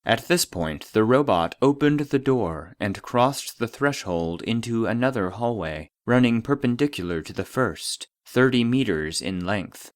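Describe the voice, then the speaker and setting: An actor playing the formal, detached voice of a secret archive database, narrating a scripted report in an audio drama. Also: male voice, mid-range voice, lively intonation, measured pace, hardly any pauses, dry recording, close to an everyday voice. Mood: clinical, detached.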